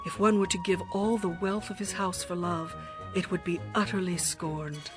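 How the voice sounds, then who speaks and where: A woman playing a passionate, devoted young bride in a dramatized scripture reading, with a tender, expressive, poetic delivery. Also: fairly low pitch, normal speed, over music, close to an everyday voice. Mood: passionate devotion.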